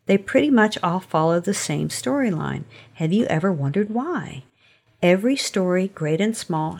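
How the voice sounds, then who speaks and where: A woman with a slightly low voice speaks as a podcast host. She teaches in a conversational way with an earnest, emphatic delivery, leaning on key phrases and putting rhetorical questions to the listener. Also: a little mellow. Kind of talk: conversational faith-based teaching talk.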